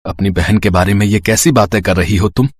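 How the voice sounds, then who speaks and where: A man's voice: fairly low pitch, fairly quick, husky. A man playing a humble, tender elder brother from a poor family in a moral story, with an earnest, emotional delivery. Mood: firm. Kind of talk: dramatised Hindi moral story acting.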